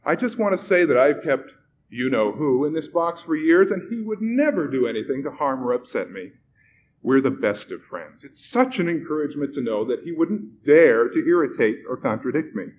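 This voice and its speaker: A man's voice: a little high, sing-song, moderate pace, voice pushed up. A man voicing a complacent lady in a storybook, sweetly pious and self-assured. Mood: smugly sweet. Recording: muffled recording with background noise.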